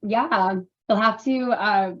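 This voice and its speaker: A woman panelist who performs drag, speaking casually and candidly in a hoarse voice she says she has lost, with many fillers. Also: medium pitch, slow pace, fairly bright tone, muffled recording.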